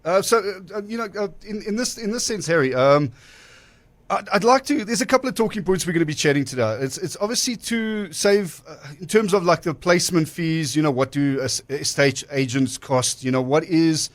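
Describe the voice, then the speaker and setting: A man's voice: on the high side, animated. A male radio host introducing a guest and asking questions, with many fillers and restarts. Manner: halting, full of fillers and restarts. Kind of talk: radio interview hosting.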